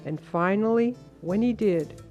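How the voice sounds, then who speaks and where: A woman reading a translated first-person account as a measured, earnest voice-over. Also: mid-range voice, music in the background.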